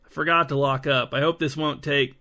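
Scared shopkeeper woman, hushed and urgent, voiced by a male narrator, pitched up. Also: fairly even intonation, a little fast. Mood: anxious. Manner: anxious, hushed. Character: frightened secretive woman shopkeeper.

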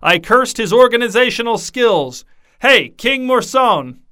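A man voicing a jovial, hoarse king who booms with merry laughter. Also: very animated, a little slow, pushed and bright, full character voice. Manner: boisterous, booming with laughter. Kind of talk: storybook character voice.

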